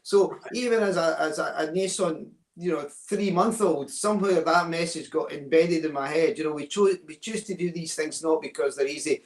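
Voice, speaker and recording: A man's voice: on the high side, moderate pace, hardly any pauses, roomy sound, rough recording. A Scottish man in his sixties, a guest in an interview, explaining ideas thoughtfully, often repeating words and checking in with "right?". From Scotland. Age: 60s. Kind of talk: reflective interview talk.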